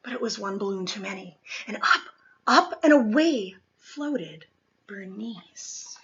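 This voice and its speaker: Bright, slow-paced woman reading a storybook. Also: medium pitch, expressive, lo-fi sound. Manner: dramatic, deliberate storytelling with stressed key words. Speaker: storybook reader. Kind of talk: expressive children's picture book read-aloud.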